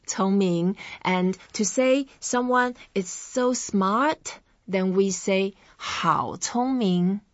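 A woman with a Chinese accent, a native Chinese speaker, teaching vocabulary in a language lesson and saying each syllable slowly and clearly. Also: medium pitch, dry recording, dull audio. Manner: slow, clearly separated syllables when modelling words. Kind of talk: language lesson with repeat-after-me drills.

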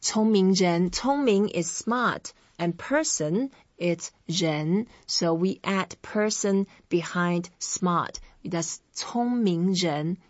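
A woman with a Chinese accent, a native Chinese speaker, teaching vocabulary in a language lesson and saying each syllable slowly and clearly. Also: lowish voice, no echo, lo-fi sound. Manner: slow, clearly separated syllables when modelling words. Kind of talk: language lesson with repeat-after-me drills.

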